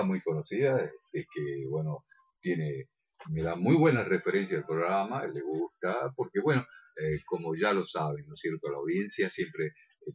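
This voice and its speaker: A man's voice: low voice, breathy edge, highs cut off. An Argentine man co-hosting a radio show, chatting and making announcements in a courteous, agreeable announcer's manner. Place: radio studio.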